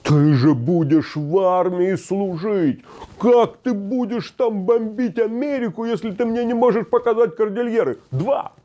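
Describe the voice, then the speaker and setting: A stern Soviet geography teacher scolds a pupil at the map, as a man puts him on. The voice sits higher than the speaker's own and drags each word out slowly with hectoring contempt. It is told as a classroom story. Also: mellow, highs cut off. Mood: scolding.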